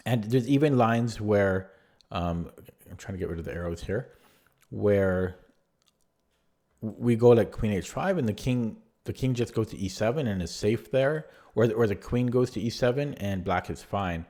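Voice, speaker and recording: A man going over one of his own chess games move by move in a relaxed, rambling way, with a voice that is going hoarse. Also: on the low side, moderate pace. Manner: rambling, many fillers and restarts. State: losing his voice. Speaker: chess player reviewing his own game. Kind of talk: casual spoken chess analysis.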